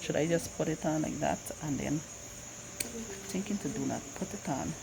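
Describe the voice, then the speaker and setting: Female cooking vlogger, Guyanese accent, low register, casual think-aloud chat, clearly noisy home recording with insects. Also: moderate pace. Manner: thinks aloud, repeating phrases.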